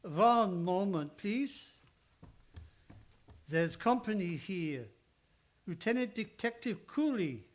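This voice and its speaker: A man's voice: high-pitched, animated, takes it slowly, pauses often. A man playing a kindly, grumbling old psychoanalyst who speaks broken English in a live old-time radio play. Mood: welcoming. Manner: broken English with dropped articles.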